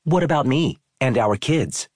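A man's voice: medium pitch, sing-song, measured pace, a little mellow, slightly rough, dry recording, studio quality, pitched above the performer's own voice. An actor playing a red-faced angry male tourist who bullies the hotel staff, talking in an indignant, blustering way. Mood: demanding.

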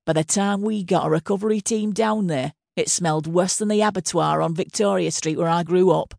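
A woman's voice: lowish voice, brisk, vocal fry, darkish tone, dry recording, clean studio sound. A woman voicing a blunt, foul-mouthed Northern English female Home Secretary with a swaggering, crude manner. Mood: scornful. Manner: blunt, crude, teasing then menacing.

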